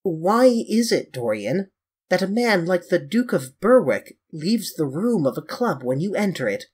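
A male reader plays a grave, concerned gentleman in a storybook. He speaks in a solemn, measured, pleading way, with a husky edge and expressive pitch movement. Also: exaggerated voice. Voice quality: deep. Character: grave, earnest, concerned English gentleman painter.

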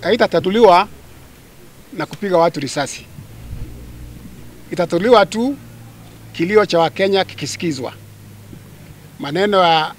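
A man in his fifties with a Kenyan accent giving a press statement outdoors into press microphones. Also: high voice. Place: outdoors among a crowd of people. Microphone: cluster of handheld press microphones. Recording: light background noise, outdoors.

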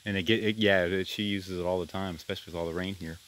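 A man giving a relaxed, rambling tour of his farm by a creek, filmed on a handheld camera outdoors. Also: low voice, fast talker. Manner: rambling, relaxed, self-deprecating with restarts. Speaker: farm vlogger. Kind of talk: casual walk-and-talk farm tour.

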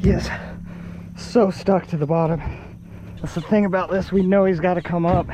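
Excited young man on a fishing boat. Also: high-pitched, normal speed, background noise. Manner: excited, exclaiming over the catch. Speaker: fishing vlogger. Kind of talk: casual chat while fishing. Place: small boat on open water outdoors.

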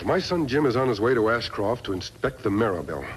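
An actor playing a steadfast, noble western hero in a 1950s radio drama, measured, earnest and authoritative. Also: male voice, medium pitch, very animated, close to an everyday voice. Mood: reading aloud. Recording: noisy vintage 1950s radio recording.

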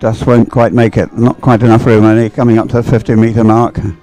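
Mellow, low-voiced male race commentator. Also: little pitch movement, fairly quick. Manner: encouraging. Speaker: sports commentator. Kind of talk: live race commentary, unhurried and chatty.

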